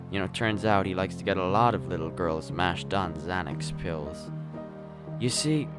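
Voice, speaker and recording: An actor playing a smooth-talking, remorseless killer in a darkly comic radio play, with a glib, conversational manner and dramatic pauses. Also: male voice, low-pitched, heavily acted. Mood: matter-of-fact confession. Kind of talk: radio play monologue and dialogue.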